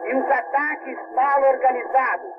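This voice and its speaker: Brazilian male 1930s sports announcer, very high voice, formal and declamatory, on a heavily noisy archive recording. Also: steady tone, normal speed, narrow, tinny audio. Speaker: football radio announcer. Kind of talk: 1930s radio sports commentary.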